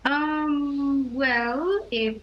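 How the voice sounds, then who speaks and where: A teenage girl from Jamaica, interviewed over a video call, answering softly and hesitantly. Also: sits high, steady tone, very slow, slight background noise. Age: late teens. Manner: soft, hesitant, many ums. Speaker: student guest. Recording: dull lo-fi video call audio.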